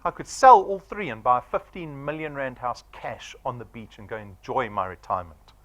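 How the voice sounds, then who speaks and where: A man's voice: medium pitch, moderate pace, sharp tone. A man of forty with a South African accent, giving a punchy sales presentation to an audience and firing off rhetorical questions. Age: 40s.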